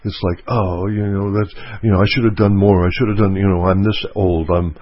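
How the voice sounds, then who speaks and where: Low husky guy doing a self-help talk, earnest, says stuff twice, dry kinda dull sound. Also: steady tone, no room sound. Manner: earnest, repeats key points slowly. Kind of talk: motivational teaching talk.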